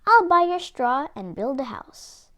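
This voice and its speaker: A woman's voice: high voice, very animated, a little slow, a bit bright, heavily acted. A woman reading a children's storybook, voicing a cocky young male little pig in a breezy, defiant manner. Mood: breezy, dismissive. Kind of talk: children's storybook read-aloud.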